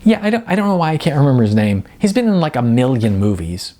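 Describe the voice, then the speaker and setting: A narrator voicing a sweet, excitable young actress with a gushing, halting delivery. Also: mid-range voice, close to an everyday voice. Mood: chatty, scatterbrained.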